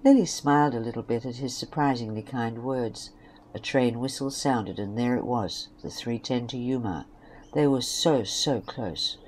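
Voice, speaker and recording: A woman narrates a scripted story in a low register. The recording is homemade, with clear noise behind the voice. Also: moderate pace, darkish tone, low-quality recording.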